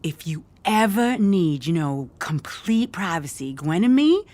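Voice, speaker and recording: A woman's voice: lowish voice, big pitch swings, unhurried, darkish tone. A woman playing a fussing American mother in a radio play, folksy, bossy with her child and eager to please. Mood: coaxing, subdued. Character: fussing, grateful mother, deferential younger sister, scolding her daughter. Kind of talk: naturalistic radio play acting.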